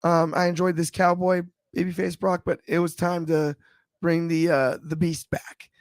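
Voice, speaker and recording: A man with a slightly raspy voice, chatting about wrestling on a panel show in a thoughtful, halting way with many restarts. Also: a little high, flat delivery, no room sound, clean studio sound. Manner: thoughtful, frequent restarts and fillers. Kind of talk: casual discussion.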